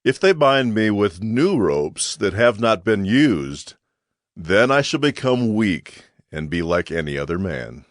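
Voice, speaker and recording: Deep-voiced brooding Samson, ancient strongman. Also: sing-song, measured pace, dry recording, clean studio sound. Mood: grave, secretive. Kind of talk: dramatized scripture acting.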